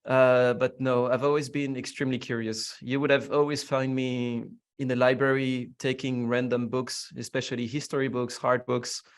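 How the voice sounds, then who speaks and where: A man's voice: medium pitch, level tone, moderate pace, few pauses, clear, resonant tone. A young French man guest in an interview, answering in a reflective way with many fillers. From France. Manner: reflective, curious, frequent fillers. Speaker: podcast guest.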